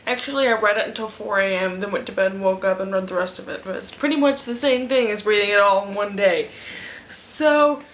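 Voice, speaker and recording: A woman chatting casually to her camera at home, reviewing a book with rambling, animated asides. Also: medium pitch, fairly quick, a little hiss or hum, low-quality recording. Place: at home in front of a fan. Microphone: camera mic.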